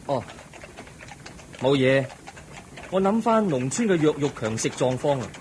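Man playing an animated, earnest young prince. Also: mid-range voice, measured pace, a little hiss or hum. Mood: pensive. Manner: earnest, questioning. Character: thoughtful, compassionate young crown prince, naive and questioning. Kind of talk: Cantonese audio drama dialogue.

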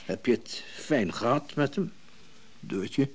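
Rich indulgent husband type, husky and lively pitch, hesitant, radio play. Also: male voice, slow pace. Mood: curious. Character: wealthy, indulgent but watchful male partner. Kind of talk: radio drama acting.

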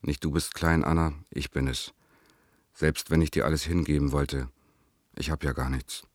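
A very deep male voice, recorded dry and close, carries the sober confession of a despairing lover. He reads his letter as a radio play monologue, full of self-loathing. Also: steady tone, moderate pace, no room sound. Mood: despairing, self-reproachful.

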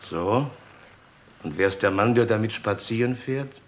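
A man's voice: on the low side, expressive, a little slow. An actor playing a casual, wry police detective reporting his findings in a radio crime drama. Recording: noisy old radio recording.

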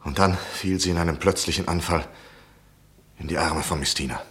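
Deep-voiced, anxious gentleman. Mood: rueful. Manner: deliberate, literary, confessional. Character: anxious, insistent gentleman journalist recounting his obsession. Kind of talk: 1960s German radio play acting and narration.

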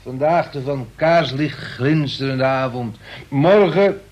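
A man's voice: mid-range voice, slow pace, darkish tone. An actor playing a jovial, tipsy man in a Dutch radio play, with playful, florid, theatrical phrasing. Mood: drunk, grandiose. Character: tipsy, jovial man wooing his lady friend. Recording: noisy old radio play recording.

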